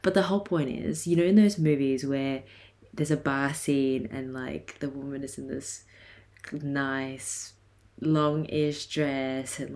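A woman in her twenties with a hoarse, raspy voice, chatting casually and laughing often as she hosts her podcast. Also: a bass voice, darkish tone. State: hoarse from a night of singing. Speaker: podcast host. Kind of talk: casual solo podcast chat.

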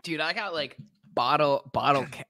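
A man's voice: fairly high pitch, normal speed, sharp tone. A man co-hosting a podcast with a nasal, congested voice from a cold, bantering in a dry, teasing way. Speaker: podcast co-host. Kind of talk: casual chat.

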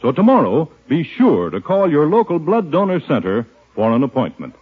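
A man's voice: on the high side, wildly swooping pitch, normal speed, breathy edge. Earnest male announcer on tinny old radio. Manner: earnest, persuasive. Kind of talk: scripted 1950s radio public-service appeal. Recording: tinny, narrow-band 1950s radio recording.

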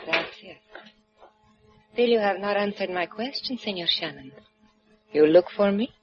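A scornful, mysterious Cuban lady in a 1950s radio play. She speaks with a Spanish accent in a formal, haughty, measured way, on a dull, lo-fi recording. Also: mid-range voice, lively intonation, over music. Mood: coolly probing. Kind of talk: 1950s radio drama acting.

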